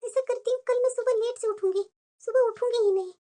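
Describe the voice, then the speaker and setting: Scheming new bride, high bright voice, sly mutters and petulant replies, woman acting. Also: steady tone, quick pace. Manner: muttered asides, petulant when speaking aloud. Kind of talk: homemade family skit acting.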